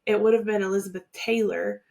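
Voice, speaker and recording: A woman's voice: mid-range voice, steady tone, a little fast, creaky, a little mellow, slight background noise. A woman co-hosting a podcast, talking casually and conversationally, often agreeing with her co-host. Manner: conversational, agreeable, hedging with fillers. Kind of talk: casual chat.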